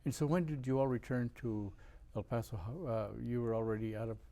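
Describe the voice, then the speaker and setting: A male host asking a guest brief, simple questions in a mellow, relaxed voice. He keeps his turns short, mostly short questions and quick replies. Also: medium pitch. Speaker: interviewer, host. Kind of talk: interview questions.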